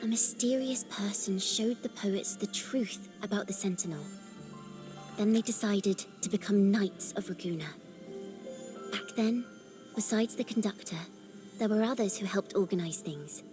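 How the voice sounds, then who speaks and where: An actress playing an earnest, poetic young woman in a video game, telling her story with gentle, solemn theatricality. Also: medium pitch, measured pace, natural voice. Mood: earnest storytelling. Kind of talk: dramatic video game narration. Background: clear background music.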